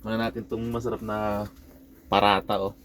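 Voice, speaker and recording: Excitable Filipino trucker vlogging outdoors. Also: male voice, fairly low pitch, fairly quick, a little hiss or hum. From Philippines. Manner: friendly, excitable, mixes Tagalog and English. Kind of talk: casual vlog chat. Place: truck parking lot outdoors.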